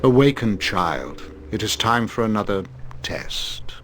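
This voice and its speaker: An actor playing a cold, cruel sorcerer who speaks slowly and commands his captives in a video game. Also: fairly low pitch, lively intonation, a bit bright, a little hiss or hum. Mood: cold, ominous. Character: cold, menacing male sorcerer tormenting captives.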